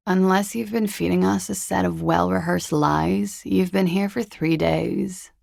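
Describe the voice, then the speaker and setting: A creaky-voiced, cool young disco dancer. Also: female voice, on the low side, a little mellow, no room sound, full character voice. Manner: languid, dry, glib politeness. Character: cool, sardonic, world-weary young woman, glamorous disco dancer. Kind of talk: video game character dialogue.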